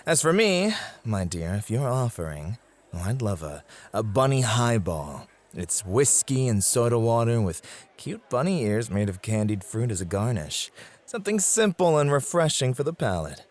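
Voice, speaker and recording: A man playing a devoted, quietly possessive club host in an ASMR roleplay, speaking in polite, formal, flowery phrases. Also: mid-range voice, very animated, moderate pace, lightly acted. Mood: suave, pleased.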